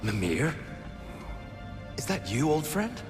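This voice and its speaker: An actor playing a gentle, weary freed prisoner god in a video game, measured, reflective and courteous. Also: male voice, a little high, huge pitch swings, over music, exaggerated voice. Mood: stunned, hesitant. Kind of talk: dramatic video game acting.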